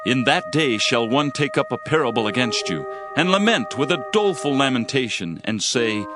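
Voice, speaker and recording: A man's voice: medium pitch, very animated, moderate pace, close to an everyday voice. A man playing a grave Hebrew prophet who proclaims judgment and lament in solemn, declamatory scripture phrasing for a dramatized audio Bible. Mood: stern. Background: background music.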